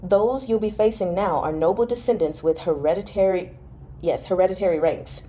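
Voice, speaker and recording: Lady reading young noble guy from a novel, devoted n restless, mellow tone, earnest. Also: on the low side, moderate pace, slight background noise, lightly acted. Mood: concerned, intimate. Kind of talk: expressive audiobook-style novel reading.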